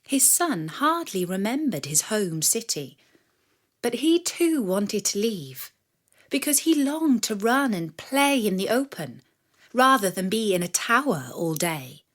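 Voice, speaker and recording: A woman reading a scripted story for children in a measured, expressive storyteller's manner. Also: medium pitch. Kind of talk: scripted narration of a myth for children.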